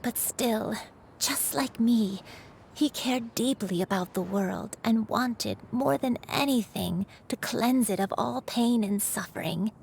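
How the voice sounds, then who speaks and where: An aged crane spirit living in human form, a dignified and remorseful old figure from a video game. Her gravelly voice sits a bit high and carries a faint crackle. She tells her tale slowly and solemnly. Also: a little mellow. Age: older. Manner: measured, solemn storytelling.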